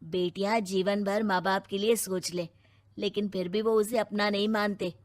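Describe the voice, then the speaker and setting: A woman's voice: medium pitch, steady tone, normal speed, dry recording, close to an everyday voice. A woman playing an opinionated young character in a cartoon story, arguing and explaining a point. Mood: indignant. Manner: argumentative, explanatory. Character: opinionated young person arguing for daughters' fair treatment.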